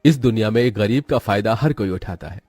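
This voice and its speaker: A man's voice: on the low side, a little fast, a little mellow, slightly rough, no echo, faint music behind. A man narrating a scripted moral story in a dramatic storytelling style.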